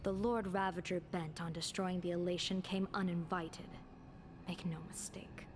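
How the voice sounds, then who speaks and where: An actress playing a composed, shrewd commander who speaks in a grave, formal and portentous manner. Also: female voice, on the low side, level tone, normal speed, slight background noise, lightly acted. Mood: grave, assured. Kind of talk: dramatic game voice acting. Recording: music behind the voice.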